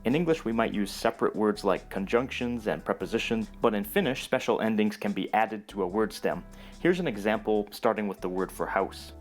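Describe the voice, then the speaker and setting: A man with a low voice reads a scripted lesson, calm and even as he explains grammar step by step. Soft music runs faintly underneath. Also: fairly quick. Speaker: language explainer narrator. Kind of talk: scripted educational narration.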